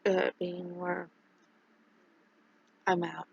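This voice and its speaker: Gravelly, husky voice, halting streamer chat. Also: medium pitch, level tone, lo-fi sound. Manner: rambling, halting, many fillers and restarts. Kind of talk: casual livestream chat.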